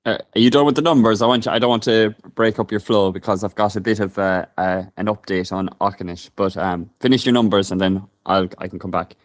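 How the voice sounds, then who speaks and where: A man with a lowish voice talks hesitantly on a casual live stream, full of fillers and restarts. He reads a news report aloud. The sound is dry and close. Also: fairly even intonation, a little fast, hardly any pauses, no room sound. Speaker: co-host.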